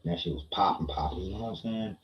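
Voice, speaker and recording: A man's voice: sits low, quick pace. A man livestreaming a casual personal story to his chat, slangy, repeating phrases for emphasis and often checking in with listeners. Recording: muffled, roomy echo.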